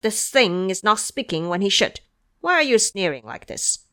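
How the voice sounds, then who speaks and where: A woman's voice: mid-range voice, sing-song, moderate pace, sharp tone, clean tone. A woman playing a haughty, hot-tempered young crown prince with an imperious delivery in an audio drama. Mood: indignant. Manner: imperious, indignant.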